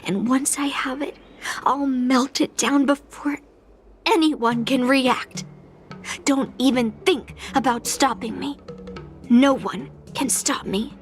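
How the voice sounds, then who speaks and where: A woman's voice: high-pitched, takes it slowly, soft background music. A woman playing a serious, headstrong young swordswoman in a video game, speaking with defiant determination. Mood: defiant resolve. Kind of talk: video game character acting.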